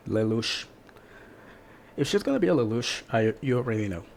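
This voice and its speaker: A man's voice: medium pitch, big pitch swings, moderate pace, creaky, darkish tone, slight background noise. A man chatting casually as a co-commentator, reacting in short, offhand interjections.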